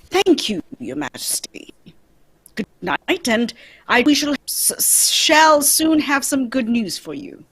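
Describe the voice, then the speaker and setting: A shrewd gentleman detective played by a woman, high in pitch and slightly husky. The delivery swings widely in tone, formal and polite, in the manner of an old-time radio mystery. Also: big pitch swings, takes it slowly, exaggerated voice. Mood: brisk, assured.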